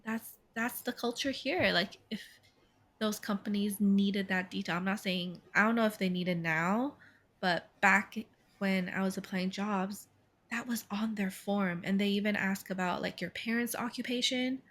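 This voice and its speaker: A woman's voice: mid-range voice, moderate pace, a bit bright. Young woman podcast cohost, north american accent, chatty and rambly, lots of likes and ums. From Taiwan. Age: 20s. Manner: chatty, many fillers and quoted asides. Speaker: podcast co-host. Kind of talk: casual chat.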